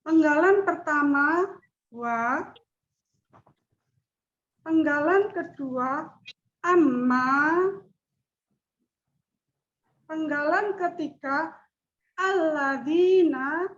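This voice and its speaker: A middle-aged woman giving a formal class presentation in measured, deliberate speech. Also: sits high, a bit bright. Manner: slow, measured speech with chanted recitation. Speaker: student presenter. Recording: dull lo-fi audio.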